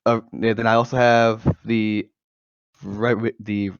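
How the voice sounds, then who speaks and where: A young American man with a fairly low voice chats casually as a podcast co-host. The recording is dry and somewhat dull, from a cheap gaming mic. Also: little pitch movement, slow, smooth, dry recording. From United States. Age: 20s. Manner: occasional stutter. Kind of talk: casual chat. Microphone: low-quality gaming microphone.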